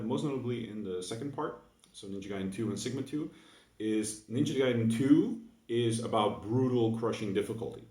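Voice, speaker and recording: A young man with a Dutch accent, just woken up, talking through a casual, hesitant monologue for his gaming video full of fillers and restarts. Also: mid-range voice. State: just woke up. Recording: echoey room, mic at a distance.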